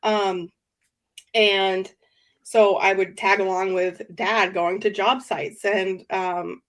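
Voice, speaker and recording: A woman's voice: mid-range voice, little pitch movement, slow. Woman guest, nasal and congested from a cold, chatty and laughing. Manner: chatty, laughs often, many fillers. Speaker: podcast guest. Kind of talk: casual chat.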